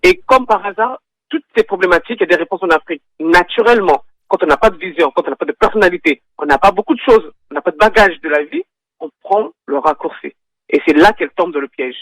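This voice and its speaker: A woman guest on a radio talk show, speaking haltingly with repeated words, then arguing her point firmly. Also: on the low side, a bit bright, no room sound, low-quality recording.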